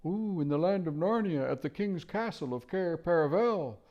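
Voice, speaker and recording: A man voicing a fussy, sleepy male owl with a soft hooting voice. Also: on the high side, lively intonation, moderate pace, dull audio, pitched above the performer's own voice. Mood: fretful.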